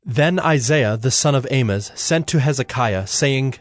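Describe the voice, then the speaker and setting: Male scripture narrator, mid-pitched. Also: expressive, a little slow.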